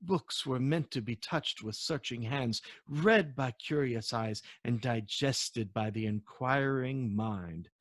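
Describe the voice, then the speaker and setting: A man's voice: medium pitch, slow pace, hardly any pauses, dry recording. Formal male antique dealer, acted by a man reading aloud, courteous, learned, eloquent, storybook style, dry recording. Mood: gracious, reflective.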